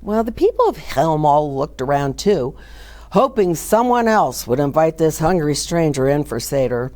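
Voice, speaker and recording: A woman reading a children's picture book aloud with animated, dramatic and emphatic storytelling. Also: deep voice, normal speed. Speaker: children's story reader and host.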